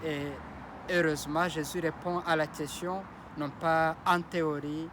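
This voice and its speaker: A man's voice: fairly high pitch, flat delivery, measured pace, background noise. A priest whose first language is Kinyarwanda or Kirundi gives a solemn Sunday homily and repeats his blessing phrases. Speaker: parish priest.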